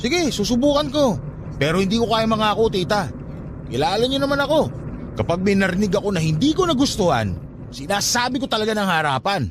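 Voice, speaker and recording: Radio drama nephew: high voice, very animated, sarcastic and argumentative. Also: male voice, a little fast, background noise. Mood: irritated. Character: irritable, blunt skeptical nephew, a grown man. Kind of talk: Tagalog radio drama acting.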